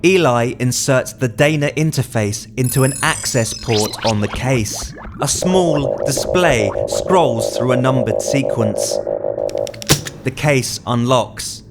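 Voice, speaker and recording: A man reading scripted narration as the writer, in a measured, deliberate storytelling manner, describing action in the present tense. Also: mid-range voice, very animated, vocal fry, over music.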